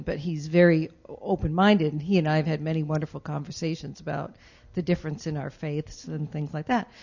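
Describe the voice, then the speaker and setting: A woman with a low, gravelly voice tells a personal story to a congregation. She talks in a chatty, rambling way and sometimes trips over her words. The recording sounds muffled and lo-fi. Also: darkish tone. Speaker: congregation member sharing. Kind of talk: casual sharing in a meeting. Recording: dull lo-fi room recording.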